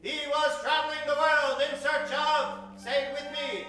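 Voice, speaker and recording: In a very reverberant theater, with music playing behind him, a man announces an old-time radio show. His voice is high for a man and set back from the microphone. He delivers everything with grandiose, tongue-in-cheek showmanship and dramatic pauses. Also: flat delivery, sharp tone, recorded from a distance. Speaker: host and announcer of a live radio-play show. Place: live theater stage, echoing room.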